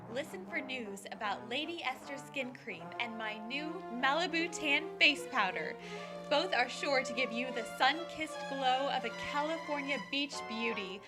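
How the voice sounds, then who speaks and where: Female, actress as genteel old-time radio beauty pitchwoman, high voice, music clearly behind. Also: natural voice. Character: genteel lady beauty authority pitching cosmetics. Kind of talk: 1940s radio advertisement. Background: prominent music.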